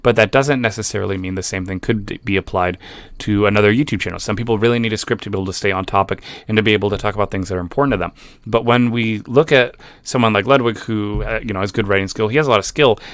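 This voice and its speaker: A man's voice: lowish voice, quick pace, fairly bright tone, no echo. A man giving casual reaction commentary, skeptical and rambling with restarts. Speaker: YouTube commentator.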